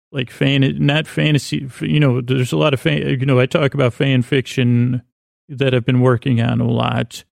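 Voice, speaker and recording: Gravelly guy doing a rambly sleep podcast, kinda monotone, stammers and trails around, halting bedtime talk. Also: mid-range voice, dry recording, clean studio sound. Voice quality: creaky, gravelly. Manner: meandering, halting, often stammers and repeats words. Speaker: podcast host.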